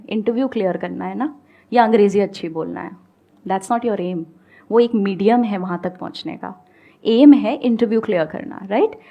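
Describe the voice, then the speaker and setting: A young woman speaking on stage, giving calm, coaxing advice to an audience with everyday examples. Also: mid-range voice, vocal fry. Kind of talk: motivational talk and audience Q&A. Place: stage before a live audience.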